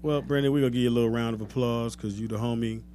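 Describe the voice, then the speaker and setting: A man hosting a podcast, joking loudly with a group of guests. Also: medium pitch, steady tone, rapid-fire. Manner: joking, loud bursts of laughter. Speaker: podcast host. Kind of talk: casual group chat.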